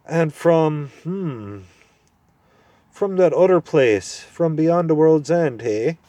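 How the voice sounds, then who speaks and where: A man voicing a deaf, irritable old male dwarf who grumbles loudly and impatiently, in a storybook reading. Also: a little high, lively intonation, takes it slowly, no echo, voice pushed up. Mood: welcoming.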